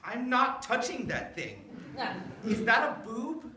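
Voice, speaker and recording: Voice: mid-range voice, wildly swooping pitch, slow. A performer acting a sullen, sarcastic, whiny schoolboy in a staged script reading. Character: sulky, sarcastic phone-addicted boy. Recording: echoey, noisy room recording.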